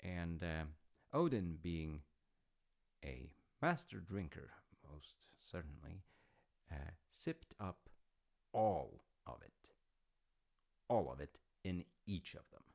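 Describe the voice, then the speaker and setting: A man acts out an easygoing middle-aged dad in an intimate audio roleplay. His voice is very deep, with a heavy gravelly creak. He takes his time, speaking slowly and wandering into wry, hesitant asides as he tells his tale. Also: very animated, a little mellow, exaggerated voice. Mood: wry, playful. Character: easygoing dad telling his grown child Norse myths. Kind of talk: intimate conversational storytelling roleplay.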